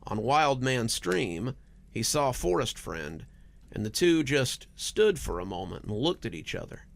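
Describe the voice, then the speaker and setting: Male horror storyteller, lively swooping intonation, creaky voice, dramatic scripted narration. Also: mid-range voice, unhurried.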